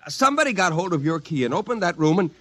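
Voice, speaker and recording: Strict american dad, middle aged, worked up n emphatic, old time radio. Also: male voice, sits high, very animated, quick pace, bright, forceful tone, heavily acted. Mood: frantic, angry. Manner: stern, emphatic, exasperated. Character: stern, agitated father, career army officer. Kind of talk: 1950s radio drama acting.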